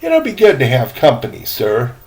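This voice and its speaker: A man's voice: medium pitch, huge pitch swings, moderate pace. An older man voicing a frank, wry young boy in a storybook, plain-spoken and eager. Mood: frank, welcoming.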